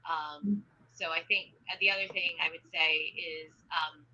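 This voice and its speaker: Bright-toned woman agent answering questions. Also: fairly low pitch, steady tone, measured pace, gravelly, highs cut off, a little hiss or hum. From grew up speaking Spanish and Norwegian at home. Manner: chatty, many ums and restarts. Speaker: literary agent, guest. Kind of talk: live Q&A answers.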